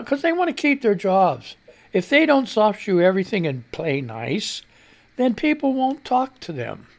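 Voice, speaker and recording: Gravelly-voiced man ranting on radio. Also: high-pitched. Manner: ranting, sarcastic, swears freely. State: cold hands, holding back a cough. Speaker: radio news show host. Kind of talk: casual political commentary, reading and reacting to posts. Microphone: studio mic on a live stream.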